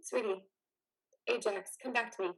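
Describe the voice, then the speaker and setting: A woman's voice: on the high side, fairly even intonation, normal speed, a bit bright, husky, poor audio. A woman in a reader's theater drama playing a caring, worried wife, soothing and pleading. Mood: concerned.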